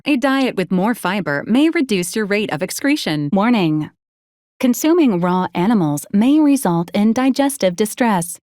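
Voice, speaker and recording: A woman acts out a female robot companion from a video game, polite and utterly literal. Her delivery is formal, measured and deadpan, at a medium pitch. The recording is close and dry. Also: no room sound, close to an everyday voice. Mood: calm warning. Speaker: robot assistant advising a commander. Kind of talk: scripted video game character lines.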